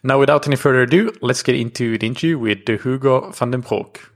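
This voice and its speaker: A man's voice: mid-range voice, fairly quick, over loud music. A man hosting an interview podcast, speaking in a conversational way as he introduces things and asks questions.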